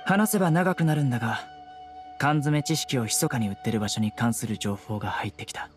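A man's voice: medium pitch, brisk, music in the background, lightly acted. A man playing a stern young law enforcer in a Japanese video game, composed, blunt and commanding. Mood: composed, businesslike.

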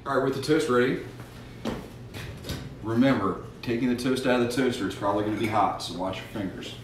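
A man's voice: medium pitch, fairly quick. Folksy middle-aged man, echoey kitchen. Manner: folksy, instructive, addresses kids and parents directly. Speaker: fire safety educator. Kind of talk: cooking demonstration with safety tips. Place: home kitchen, indoors. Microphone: camera mic from across the room. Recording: echoey kitchen, mic far off, audible noise.

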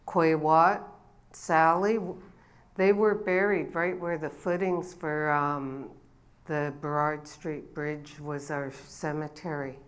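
Slow, low-voiced woman elder. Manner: slow, unhurried storytelling with rising ceremonial calls. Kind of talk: welcoming address. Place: dark event stage before an audience. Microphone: body-worn mic.

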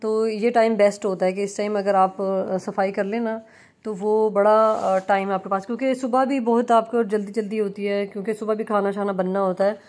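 A young adult woman chatting through a home cleaning vlog as a relaxed voice-over, full of fillers and restarts. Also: medium pitch, moderate pace. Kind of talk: casual voice-over narration. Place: home kitchen.

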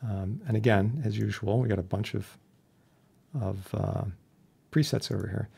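A man instructing in an informal software tutorial, chatty and plain-spoken with a wry streak. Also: on the low side, fairly even intonation, moderate pace, vocal fry, slightly rough. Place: indoors, voice-over for a screen recording.

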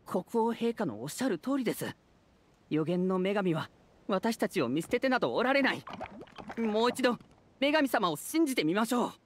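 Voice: medium pitch, big pitch swings, normal speed, a little hiss or hum, natural voice. An actor voicing a humble, anxious citizen of a fairytale town in a Japanese video game, speaking formally and earnestly. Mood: earnest, urging. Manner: formal, deferential, earnest speech.

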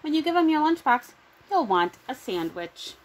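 Woman, middle-aged, high-pitched voice, children's book read-aloud, home recording. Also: big pitch swings, moderate pace, low-quality recording. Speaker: children's story reader.

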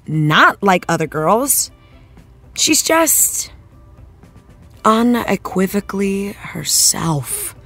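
A woman's voice: mid-range voice, a bit bright. A woman playing an earnest, thoughtful TV actress in an interview, searching for her words in a conversational way. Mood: sincere, emphatic. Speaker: interviewed actress, after-show guest.

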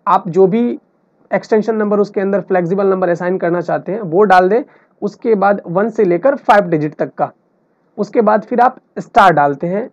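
A high-pitched man teaches in an informal tutorial style. He explains step by step, repeating himself and dropping in English terms. The recording is dull and lo-fi. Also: a little mellow. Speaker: technical tutorial instructor. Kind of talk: explanatory screen tutorial, unscripted. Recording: dull lo-fi voice-over.

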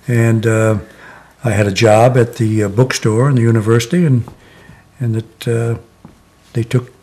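An older man in his seventies from Connecticut, reminiscing slowly in a sit-down oral history interview, with many pauses and "uh" fillers. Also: mid-range voice, flat delivery, mellow. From Connecticut, USA. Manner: slow, reflective, many "uh" pauses. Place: living room, seated in armchair.

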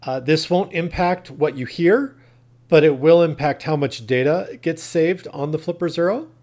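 A man's voice: on the high side, moderate pace, a little mellow. A man hosting an instructional tutorial, narrating step by step in a plain, methodical way. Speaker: tutorial host.

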